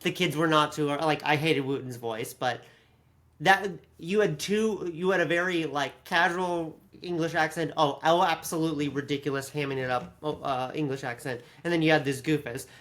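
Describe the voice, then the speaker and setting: A podcast co-host in loose, conversational review mode. The delivery is animated and unfiltered, with some swearing and mock-quoting, at a middling pitch. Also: normal speed. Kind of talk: casual review chat.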